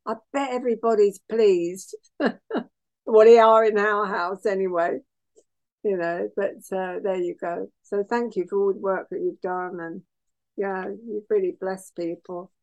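Croaky, slow-talking woman radio host. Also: mid-range voice, fairly even intonation, rough recording. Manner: chatty, laughing, warm asides. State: croaky voice from hay fever. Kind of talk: casual radio sign-off chat.

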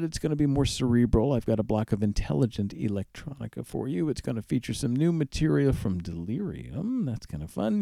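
A man's voice: mid-range voice, gravelly, dark tone, no echo. A man presenting a music radio show as its DJ, talking casually and conversationally between tracks. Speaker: radio DJ and host.

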